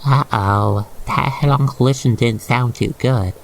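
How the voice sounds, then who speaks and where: Creaky-voiced man narrating an ASMR roleplay. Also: medium pitch, a little slow, heavy background noise. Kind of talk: scripted second-person ASMR roleplay narration.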